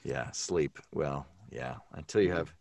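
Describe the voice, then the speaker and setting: A man chatting casually among podcast colleagues, rephrasing as he goes in a halting way. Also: very deep voice, vocal fry, a little mellow. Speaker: podcast cast member. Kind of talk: casual chat.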